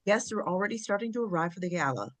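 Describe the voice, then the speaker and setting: Actress as tough female aide, lowish voice, deadpan, gruff, very dry close sound, comedy read. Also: fast, dry recording. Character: gruff, deadpan, gun-toting woman aide. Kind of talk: comedy script table read.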